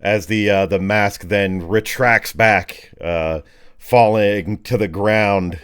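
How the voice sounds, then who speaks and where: A man running a tabletop game as game master, narrating off the cuff in vivid, colloquial, halting phrases. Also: on the low side, fairly even intonation, smooth.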